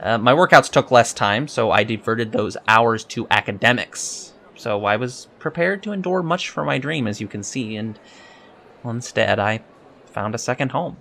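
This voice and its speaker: A man's voice: medium pitch, normal speed, vocal fry, fairly bright tone. Guy acting as young alien space cadet, polite n earnest, kinda hesitant, bit nerdy, audio drama. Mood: eager, explaining. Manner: hesitant, polite, precise wording with many ums. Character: earnest young male alien Starfleet cadet, eager and scholarly.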